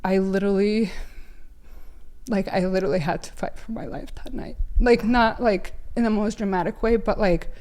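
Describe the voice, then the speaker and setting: Ukrainian-born woman telling her testimony, middle pitch, lots of likes and restarts. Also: normal speed, darkish tone. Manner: emotional storytelling with many fillers and restarts. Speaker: guest. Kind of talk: personal testimony in a faith podcast.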